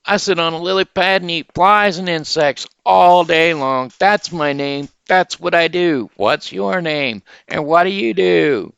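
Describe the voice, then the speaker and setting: A man doing a loud, boastful big-mouthed frog. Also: measured pace, gravelly, hardly any pauses, clean tone, dry recording. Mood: boastful cheery. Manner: high, loud, boastful greetings.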